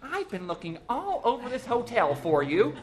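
A man's voice: very high-pitched, huge pitch swings, moderate pace, pitched above the performer's own voice, exaggerated voice. A man voicing a nagging, impatient middle-aged wife in a storybook, firing demanding questions at her husband.

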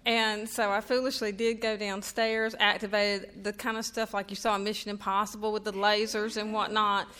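Chatty Southern woman, bright voice. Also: mid-range voice, flat delivery, normal speed, smooth. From North Carolina, USA. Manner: chatty, self-deprecating, laughs often. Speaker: author speaking at a reading. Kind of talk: humorous talk and reading to an audience. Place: event room before a live audience.

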